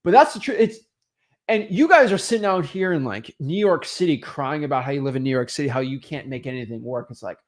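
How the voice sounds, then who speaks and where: Animated young man coaching with emphasis. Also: medium pitch, fairly quick. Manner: emphatic, rhetorical questions, frequent "right?" and "okay?". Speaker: online real estate coach. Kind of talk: motivational teaching talk.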